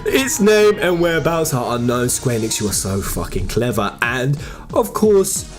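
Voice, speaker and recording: An animated host delivers fan theory commentary with music playing behind. The mid-range voice swings widely in pitch. The delivery is informal, emphatic and full of exclamation. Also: normal speed. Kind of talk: excited fan theory commentary over game footage.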